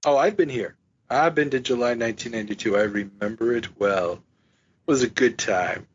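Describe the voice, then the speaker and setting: A man hosting a podcast in casual chat, joking about himself with quick asides. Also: medium pitch, slight background noise. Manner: chatty, self-mocking, quick asides. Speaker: podcast host. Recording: slightly dull lo-fi podcast audio.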